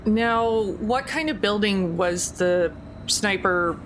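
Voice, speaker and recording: A woman playing a tabletop game, chatting casually and thinking out loud. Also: mid-range voice, slow. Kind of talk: casual play talk. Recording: noisy background hiss.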